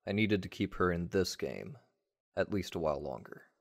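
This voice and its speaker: A man narrating a first-person story in a measured, wry way, like a radio play. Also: deep voice, lively intonation, creaky.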